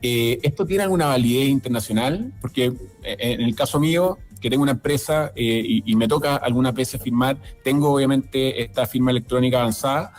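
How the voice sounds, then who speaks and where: A man co-hosting a radio talk show, speaking haltingly with repeated words. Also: medium pitch, faint music behind. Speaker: radio co-host.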